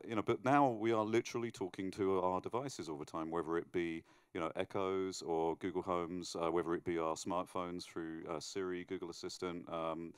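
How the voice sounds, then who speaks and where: A man with a deep, very clear voice moderates a conference interview. His delivery is informal and conversational, and the sound is dry with little room around it. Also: no echo. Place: live on stage at a conference.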